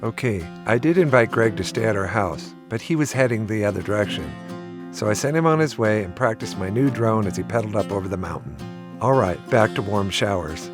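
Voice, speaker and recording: A middle-aged man telling the story of his travels in a relaxed, friendly voice-over. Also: fairly low pitch, fairly quick. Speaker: vlogger host. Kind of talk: scripted voice-over narration. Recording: voice-over with guitar music behind.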